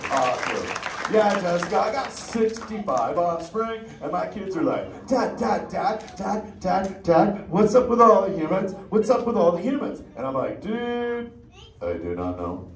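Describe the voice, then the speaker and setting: Brief: chill surfer sea turtle, high and lively, slangy and playful, roomy distant sound with noisy crowd. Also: male voice, unhurried, heavily acted. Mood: stoked. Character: laid-back surfer-dude male sea turtle. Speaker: live theme park show character. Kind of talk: interactive live audience show. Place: indoor theater with an audience. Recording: echoey theater from far off, crowd noise.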